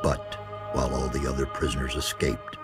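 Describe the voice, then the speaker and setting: An actor playing a solemn exiled sorcerer in a fantasy game, speaking slowly with grave, portentous weight. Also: male voice, very deep voice, flat delivery. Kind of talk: dramatic fantasy game narration. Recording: background music behind the voice.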